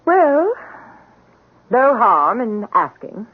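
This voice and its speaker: An actress playing a cruel, power-hungry wife in a 1970s radio drama, cool, commanding and taunting. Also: female voice, fairly high pitch, sing-song, extremely slow, slightly rough, heavily acted. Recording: muffled, phone-like old radio recording.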